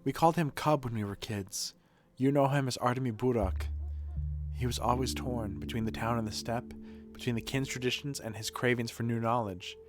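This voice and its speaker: A man reading game dialogue as an earnest, dutiful woman who runs a shelter, in a measured, formal, reflective manner. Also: mid-range voice, close to an everyday voice. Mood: wistful. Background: music.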